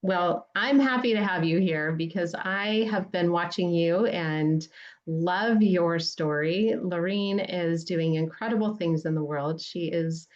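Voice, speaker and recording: A woman's voice: on the low side, unhurried. A woman hosting a podcast interview, speaking with effusive praise for her guest. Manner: warm, effusive praise, faith-centered. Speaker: podcast host. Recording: dull lo-fi sound.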